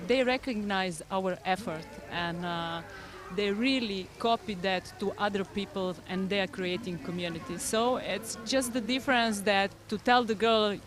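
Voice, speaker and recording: A woman with a Serbian accent speaking in earnest, slightly halting English as a coach being interviewed at a race. Her pitch sits in a normal middle range. She is recorded outdoors at the course. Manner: earnest, non-native phrasing with fillers. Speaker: head coach of a development team. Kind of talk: live interview.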